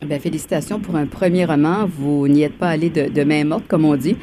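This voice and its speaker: Animatrice radio, voix assez grave, ton de conversation, bruit de fond net. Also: female voice, low voice, fairly even intonation, a little fast, noisy background. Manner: conversational, trailing fillers. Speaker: radio host. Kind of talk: radio interview.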